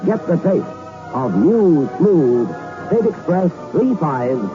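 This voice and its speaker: Smooth man reading a radio ad. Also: on the high side, big pitch swings, takes it slowly. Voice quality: smooth, persuasive. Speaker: ad announcer. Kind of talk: 1960s radio ad read. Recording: muffled old radio with loud music.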